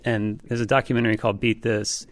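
A man speaking as an interview guest, an author explaining music history in an informal, thoughtful, halting way. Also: on the low side, little pitch movement, dry recording, studio quality. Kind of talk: conversational interview.

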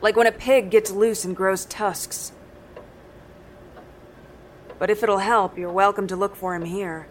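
An actress playing a blunt, weary, bitter middle-aged countrywoman in an audio drama, speaking gruffly and matter-of-factly. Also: medium pitch, fairly even intonation, measured pace, long pauses, a little hiss or hum, lightly acted. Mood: grim, matter-of-fact. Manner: blunt, matter-of-fact, swearing.

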